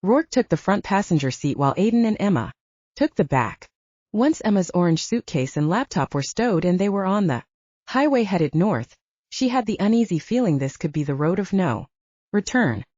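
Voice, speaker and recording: A woman's voice: fairly low pitch, very animated, normal speed, darkish tone, dry recording. A woman narrating fiction from a script as an audiobook reader. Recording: dull, lo-fi, dry studio-like sound.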